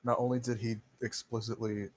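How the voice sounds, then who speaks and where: A young man co-hosting a podcast, teasing his friends in a dry, deadpan way. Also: mid-range voice, fairly quick, slight background noise. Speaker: podcast co-host. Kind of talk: casual banter among friends.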